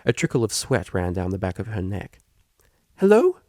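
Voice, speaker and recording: A man reading scripted fiction aloud as a narrator, with a creaky voice. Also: low-pitched, fairly quick, darkish tone, no room sound, clean studio sound. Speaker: audiobook reader.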